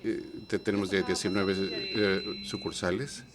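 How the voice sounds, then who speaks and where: A man working as a live conference interpreter, speaking haltingly with many fillers and restarts. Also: low voice, fairly even intonation, a crowd in the background. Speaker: simultaneous interpreter. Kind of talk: live Spanish interpretation of a panel.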